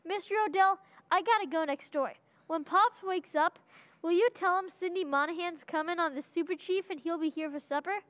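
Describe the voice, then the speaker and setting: A woman's voice: very high-pitched, a little fast, fairly bright tone, no room sound. A woman playing a casual, dismissive young son in old-time radio comedy, offhand and boyish. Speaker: radio drama actor.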